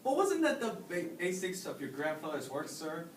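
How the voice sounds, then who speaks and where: A man plays an earnest student who keeps pressing his point in stage comedy style. His delivery is halting yet insistent, with lively swings in pitch. He is some way from the microphone in an echoing room. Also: a little hiss or hum. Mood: earnest questioning. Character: earnest, persistent student challenging his professor. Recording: roomy, distant microphone.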